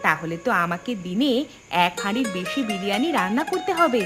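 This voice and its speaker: A woman's voice: mid-range voice, sing-song, brisk, lightly acted. A woman voicing a practical, supportive wife who cooks, in a cartoon. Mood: brisk. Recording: background music.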